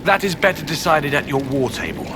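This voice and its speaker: Fussy, animated quartermaster played by a man. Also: fairly high pitch, fairly quick, background noise, lightly acted. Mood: businesslike. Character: fussy, diplomatic, self-important male quartermaster. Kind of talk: video game voice acting.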